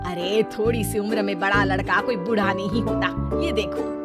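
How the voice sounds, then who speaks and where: A woman's voice: mid-range voice, steady tone, normal speed, lightly acted. A woman playing a greedy, scheming middle-aged mother in a cartoon story, with a dramatic, scolding and wheedling manner. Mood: insistent. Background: background music.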